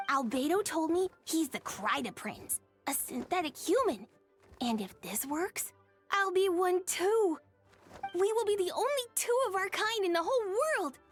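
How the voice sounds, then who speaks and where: A woman voicing a young boy dragon in a video game, shy, gentle and earnest, with a soft, hesitant, sincere delivery. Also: very high-pitched. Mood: grateful, earnest.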